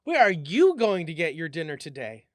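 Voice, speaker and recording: Man voicing an eager young newsboy, high-pitched. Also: sing-song, normal speed, no room sound, studio quality. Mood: generous, eager. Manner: folksy, slangy street talk. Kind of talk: storybook reading.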